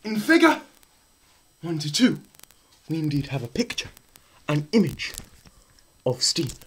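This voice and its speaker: A young man performing a theatrical scripted lecture, melodramatic, swinging between hushed murmurs and loud cries. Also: a little high, sing-song, a little slow. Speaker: presenter performing a dramatised physics lecture. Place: small plain room indoors.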